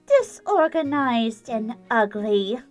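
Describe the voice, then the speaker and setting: Woman acting a theatrical, high-pitched fashionable diva. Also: very animated, a little mellow, faint music behind. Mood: haughty disapproval. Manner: theatrical, drawn-out emphasis. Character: dramatic, refined fashionable pony-girl, flamboyant and haughty.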